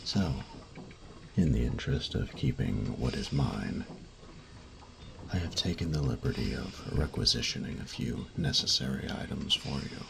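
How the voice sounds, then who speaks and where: Man playing a very deep, gravelly grumpy artificer. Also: noisy background, heavily acted. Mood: stiff, matter-of-fact. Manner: formal, precise, pedantic, halting delivery. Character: grumpy, fastidious male artificer-mage in a steampunk world. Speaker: voice actor in an audio roleplay. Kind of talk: scripted roleplay audio drama, addressing the listener.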